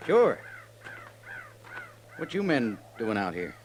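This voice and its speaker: Jumpy, animated naive homesteader. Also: male voice, medium pitch, takes it slowly. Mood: wary, friendly. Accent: rural American. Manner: earnest, nervous. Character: naive, frightened young homesteader, plain and earnest. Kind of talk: old-time radio western acting. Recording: noisy old radio recording.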